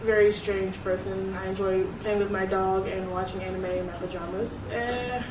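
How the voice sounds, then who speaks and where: A woman's voice: mid-range voice, fairly even intonation, normal speed. A young woman who grew up in South Korea, chatting casually as an interviewee, laughing often and restarting her sentences. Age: young adult. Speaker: interviewee, club secretary. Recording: echoey room, distant mic, noisy.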